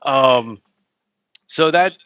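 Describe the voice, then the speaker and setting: A man's voice: medium pitch, drawn-out words, fairly bright tone, lo-fi sound. A man chatting casually as a guest on an interview, informal and jokey as he explains things through examples. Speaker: podcast guest, adventure novelist.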